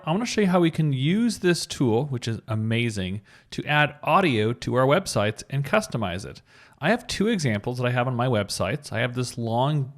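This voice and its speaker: Guy doing a coding screencast, super animated pitch, upbeat and informal, walks you through each step. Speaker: web developer giving a tutorial.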